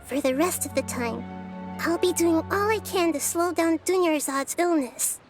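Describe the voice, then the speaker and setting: A childlike goddess in a video game. Her voice is high, light and girlish, yet she explains things calmly and cryptically, like a patient sage. Music plays clearly behind her. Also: normal speed. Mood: grave, gentle. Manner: explanatory, speaks in analogies. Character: small childlike goddess girl, wise, calm, gently cryptic.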